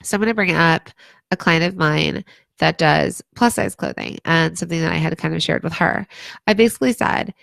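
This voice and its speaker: A hoarse woman consultant giving casual advice on a livestream, chatty, with lots of "like" and "um". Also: low voice, fairly quick, a bit bright, no room sound. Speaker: brand consultant.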